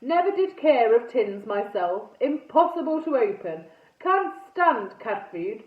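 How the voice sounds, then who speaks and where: A woman's voice: fairly high pitch, big pitch swings, measured pace, recorded from a distance. A woman voicing a pompous old male ghost knight in armour for a children's story, with formal, indignant delivery. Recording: phone-like thin sound, roomy echo.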